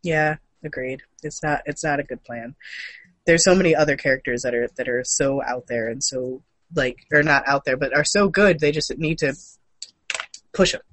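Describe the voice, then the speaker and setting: A man with a fairly high voice hosts a casual chat show. He talks informally, with the odd mild curse. The sound is dry, with very little room to it. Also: normal speed, a bit bright, dry recording. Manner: informal, mildly profane. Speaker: show host. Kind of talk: casual co-hosted chat about gaming and comics news.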